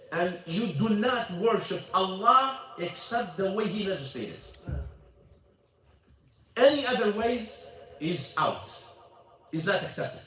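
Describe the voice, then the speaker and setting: A man's voice: sits high, a bit bright. A man teaching a religious class at a mosque. He is emphatic, repeats his points and quizzes the audience. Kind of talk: religious lesson, switching between Arabic and English. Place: mosque hall. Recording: echoey hall, mic at a distance.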